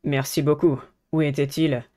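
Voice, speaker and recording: A courteous, absent-minded schoolboy singer from a dating game as a woman plays him. His voice is pitched down and mellow, and his delivery is understated and polite. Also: level tone, moderate pace, lightly acted. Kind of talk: visual novel character reading.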